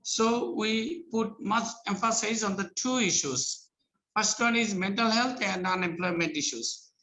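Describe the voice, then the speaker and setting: A man from Bangladesh, a government official, speaking formally and haltingly on a video call. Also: high voice, pushed and bright, low-quality recording. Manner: formal, halting, repeats greetings.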